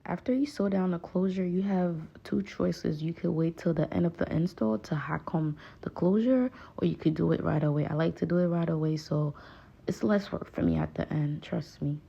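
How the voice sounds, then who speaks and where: Hairstylist, young adult woman with a Haitian accent, low gravelly voice, coaxing and patient, dull lo-fi recording. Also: fairly even intonation, normal speed, warm tone. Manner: patient, pleading, repeats "please, guys". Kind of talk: voice-over tutorial.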